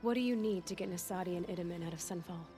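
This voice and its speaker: An actress playing a determined young huntress in a video game, speaking plainly and asking direct questions. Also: female voice, on the low side, very fast, dark tone, soft background music, close to an everyday voice. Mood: dry, direct. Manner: plain, direct, matter-of-fact. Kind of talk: video game cutscene dialogue acting.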